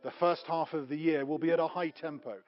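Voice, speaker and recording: A man acting as a force commander, giving a prepared interview statement in a formal, measured and fluent way. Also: on the high side, steady tone. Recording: slightly dull, dry recording.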